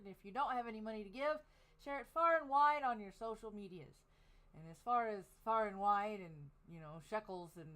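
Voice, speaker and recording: A woman's voice: mid-range voice, a bit bright, rough recording. A woman hosts an online show in a casual chat. Her voice sits mid-range, and she talks in a rambling, easygoing way. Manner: chatty, rambling, laughs easily. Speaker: podcast host.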